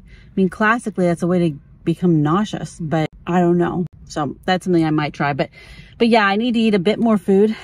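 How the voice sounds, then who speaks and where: Creaky low-voiced woman vlogger. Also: a little mellow. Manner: informal, candid, thinking aloud. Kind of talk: casual chat.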